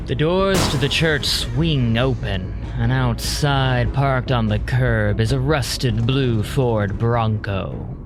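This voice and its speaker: A man narrating an audio drama in a deliberate, atmospheric, scripted storytelling style. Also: medium pitch. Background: music.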